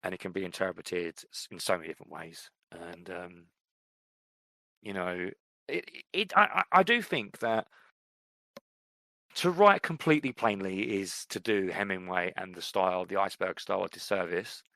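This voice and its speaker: A man's voice: low voice, normal speed, gravelly, pushed and bright, no echo. A man co-hosting a casual podcast, talking through books and writing in a thoughtful, rambling way, with many fillers and restarts. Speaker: podcast co-host. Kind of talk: casual chat about books and fiction.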